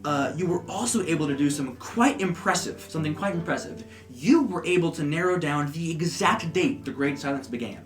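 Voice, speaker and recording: A man's voice: a little high, fairly quick, few pauses. Man narrating a tabletop game. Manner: relaxed, rambling with fillers. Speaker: game master. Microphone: desk USB microphone. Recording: roomy with background music.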